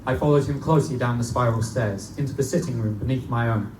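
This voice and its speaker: A man's voice: mid-range voice, fast talker, a little hiss or hum, lightly acted. A man playing a young Victorian medical student who narrates a gothic horror tale in formal, old-fashioned language before a live audience. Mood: suspenseful. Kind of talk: live dramatic reading of gothic pulp horror. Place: live performance in a bookstore. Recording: echoey distant live room recording, loud guitar.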